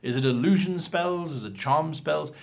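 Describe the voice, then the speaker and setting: A man hosting an advice show, explaining things to viewers in an animated, theatrical and emphatic way. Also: a little high, moderate pace, vocal fry. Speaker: host of a role-playing advice show. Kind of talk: instructional talk to viewers with acted example lines.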